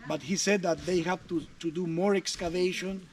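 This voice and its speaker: A man's voice: sits high, fairly even intonation, moderate pace, darkish tone. A man guiding a tour outdoors at a jungle archaeological site, explaining chattily with restarts. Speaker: tour guide. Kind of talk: guided tour talk. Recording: faint outdoor noise.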